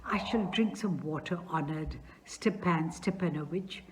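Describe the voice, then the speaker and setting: A woman's voice: low-pitched, a little slow, slight background noise. A woman reading aloud as a nervous, flustered male landowner who stammers while asking for a daughter's hand.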